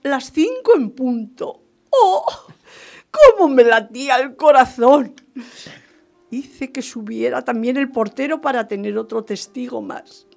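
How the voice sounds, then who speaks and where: A woman acting as a scheming aristocratic lady in a radio play, high and unhurried. Her delivery is theatrical and gossipy, with wide swings and a touch of creak. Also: expressive, faint music behind, exaggerated voice. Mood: confiding. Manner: theatrical, exclamatory, dramatic. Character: gleeful, scheming marquise gossiping about her divorce.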